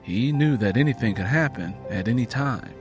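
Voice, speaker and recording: A man's voice: medium pitch, lively intonation, normal speed, music in the background. A man narrating a dramatic story from a script as an audiobook reader.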